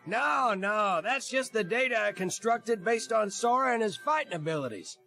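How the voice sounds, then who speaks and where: A cocky, blustery engineer for a video game. The voice is high and forceful, brash and folksy, with music underneath. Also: male voice, expressive, moderate pace, full character voice. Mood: brash, boastful. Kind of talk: animated game acting. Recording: music behind the voice.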